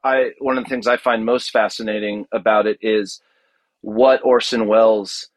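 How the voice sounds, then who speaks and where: A man's voice: lowish voice, fairly even intonation, moderate pace, dry recording. A man talking casually as a podcast guest, telling stories about his audio drama work. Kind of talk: casual interview, animated storytelling.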